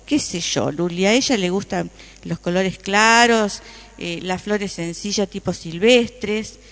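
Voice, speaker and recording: A woman's voice: medium pitch, takes it slowly, a bit bright. A woman playing a friendly, appreciative customer chatting with a friend in a radio play, with an Argentine Rioplatense accent. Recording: reverberant room with long echo.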